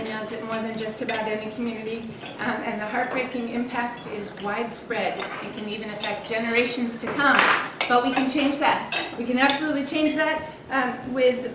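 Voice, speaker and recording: A woman making an earnest, heartfelt appeal to a club meeting. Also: fairly high pitch. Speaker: club member. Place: banquet room with dishes clinking. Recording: echoey room from far off, loud noise.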